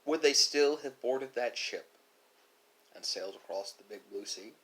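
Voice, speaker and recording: A man speaks in a mid-range voice that rises and falls dramatically. He delivers a reflective, philosophical monologue, leaning on key words and leaving deliberate pauses between phrases. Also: medium pitch, animated, bright, forceful tone, rough recording. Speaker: video creator.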